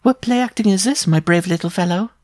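A hefty circus lady, voiced by a male narrator, speaks far up in pitch with a coquettish air. She is amused and teasing under a mock-polite surface, in a dry storybook recording. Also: a little fast, a little mellow, dry recording, natural voice. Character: big robust woman circus performer, teasing and amused.

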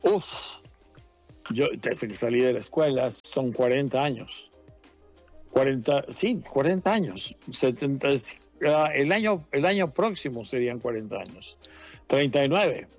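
A man's voice: mid-range voice, a little slow. Veteran male journalist, late fifties, easygoing and rambling, faint music bed. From Mexico. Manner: relaxed, laughs often, rambling. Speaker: journalist, correspondent. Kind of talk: casual interview chat.

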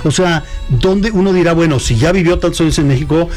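A middle-aged man with a Mexican accent talks in a slightly raised voice. He tells anecdotes reflectively, often pausing on "eh" and restarting his sentences. Faint music plays behind him. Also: fairly high pitch, darkish tone. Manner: reflective storytelling, hesitant "eh" restarts. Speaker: guest. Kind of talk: conversational interview.

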